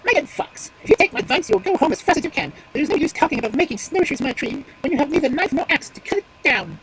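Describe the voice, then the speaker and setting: A woman voicing a shrill, frantic magpie. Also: big pitch swings, background noise. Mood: defiant. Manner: shrill, pleading and fast. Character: frantic pleading male magpie, anxious parent bird.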